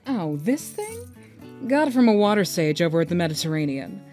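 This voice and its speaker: A woman's voice: on the low side, very animated, a little fast, mellow, faint music behind. A woman voicing a vain, flirtatious scaled sea woman who talks in a smug, teasing way. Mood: breezy. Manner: smug, teasing, self-admiring. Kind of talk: fan audio drama acting.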